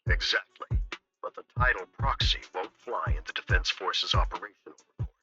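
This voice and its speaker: An actor playing a composed, shrewd mayor in a video game, speaking in a formal, measured, diplomatic way. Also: male voice, low-pitched, pushed and bright, highs cut off, soft background music. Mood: composed, courteous. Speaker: mayor briefing agents. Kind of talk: scripted video game voice acting.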